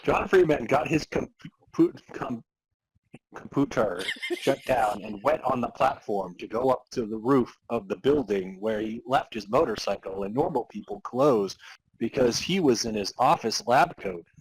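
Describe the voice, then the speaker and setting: A man's voice: mid-range voice, unhurried, slight background noise, rough recording. A man reading amateur fan fiction aloud as narrator, in a mock-serious dramatic storytelling style. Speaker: podcast host reading as narrator.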